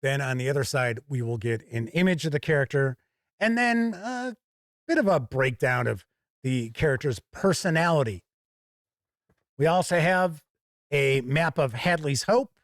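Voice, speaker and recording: A man hosting a casual product review, talking deliberately and explaining things step by step with conversational asides. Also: fairly high pitch, animated, dry recording, studio quality. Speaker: reviewer and show host.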